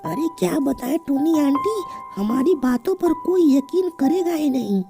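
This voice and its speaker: Very high, excitable young bird, voiced by a woman. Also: normal speed. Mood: earnest, worried. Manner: excitable, childlike chatter. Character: young excitable, easily frightened little bird. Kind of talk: Hindi children's cartoon voice acting. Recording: loud music behind the voice.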